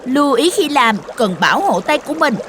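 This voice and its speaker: A knowledgeable young cartoon explainer. The voice is high and animated, helpful and instructive, over faint background noise. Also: female voice, a little fast. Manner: instructive, expressive. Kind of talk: children's cartoon dubbing, scripted explanation.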